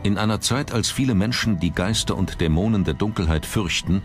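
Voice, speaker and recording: Deep-voiced male documentary narrator. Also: brisk. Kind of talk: scripted narration. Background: background music.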